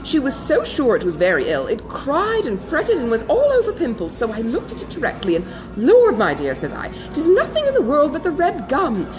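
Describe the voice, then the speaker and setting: Casting: female reader as excitable older society gossip, good-hearted, breathless, very wide swings in pitch, period novel audiobook. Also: moderate pace, hardly any pauses, music in the background, poor audio. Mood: bustling, eager. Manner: breathless, exclamatory, chatty gossip. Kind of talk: dramatised audiobook reading of a classic novel.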